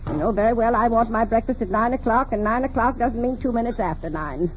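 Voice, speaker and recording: A woman's voice: fairly high pitch, fast, lightly acted. An actress playing a despotic, irascible old invalid woman of wealth in a 1940s radio drama, imperious, suspicious and sarcastic. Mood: bitter, suspicious. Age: older. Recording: muffled, noisy 1940s radio recording.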